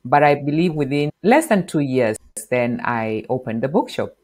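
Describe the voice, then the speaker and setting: Middle-aged woman from kenya, podcast guest, animated, lapel mic in a home office. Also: extremely low pitch, normal speed. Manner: animated, gestures while explaining. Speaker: podcast guest, marketing teacher. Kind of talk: interview answers, explaining. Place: bright home office with bookshelf.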